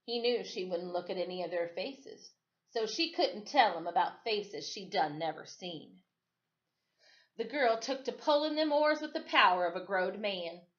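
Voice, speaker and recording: A woman reading a children's story aloud as expressive storytime narration. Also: medium pitch, unhurried, highs cut off. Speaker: storytime reader.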